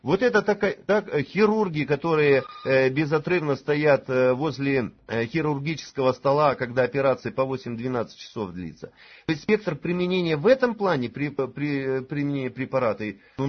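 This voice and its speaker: A man in his forties giving a conference talk to promote a supplement. He speaks hesitantly and fills his pauses with drawn-out "uh"s. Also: medium pitch, muffled recording. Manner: hesitant, many drawn-out "uh" fillers. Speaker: presenter at a sales conference.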